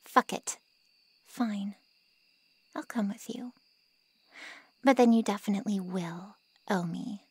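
A defiant, sassy woman, acted by a woman for an ASMR roleplay, taunts with slow sarcasm in a husky voice. The sound is close and dry, and she draws her words out. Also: mid-range voice, dry recording, exaggerated voice. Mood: grudging. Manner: sarcastic, taunting, drawn-out delivery. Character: sassy, defiant, foul-mouthed woman, grudging ally of vampires.

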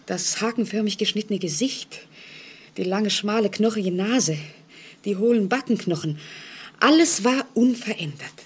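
An actress playing a frightened woman in an old radio play, speaking anxiously and haltingly with dramatic intensity. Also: lowish voice, a little hiss or hum, close to an everyday voice. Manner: anxious, dramatic, halting, sometimes dropping to a whisper. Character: frightened single woman telling police of a stalking convict. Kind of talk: 1940s German radio play acting.

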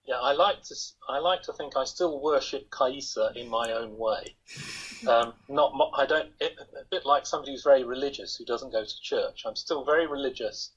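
A man's voice: medium pitch, moderate pace, husky. An older man chatting as an interview guest over a video call from his home, in a rambling, self-deprecating way. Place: home room, indoors. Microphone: laptop webcam on a video call. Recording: dull lo-fi video call audio.

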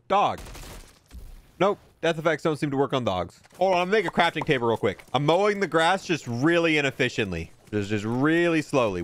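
A man giving casual, chatty and opinionated review commentary over video game footage, with playful exclamations. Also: a little high.

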